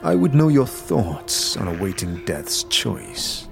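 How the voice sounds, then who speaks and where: Man putting on a slow, stony, solemn faction elder. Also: fairly low pitch, big pitch swings, gravelly, music in the background, full character voice. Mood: stern, solemn. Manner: slow, measured, formal, terse. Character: grave, stony, patient male faction elder. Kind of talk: fantasy audio drama acting.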